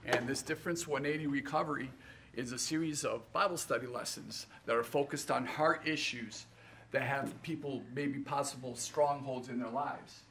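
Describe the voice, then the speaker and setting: A man's voice: mid-range voice, normal speed, fairly bright tone. A middle-aged man delivering scripted church announcements to camera. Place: large indoor church foyer and halls. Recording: roomy, distant, noisy hall sound.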